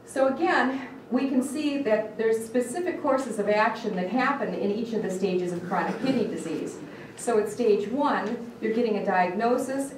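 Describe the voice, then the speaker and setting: A woman's voice: mid-range voice, background noise. Michigan woman lecturing in an echoey room. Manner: earnest, questions the audience, restarts words. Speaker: dietitian giving a talk. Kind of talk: live educational talk to an audience. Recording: echoey room picked up from a distance.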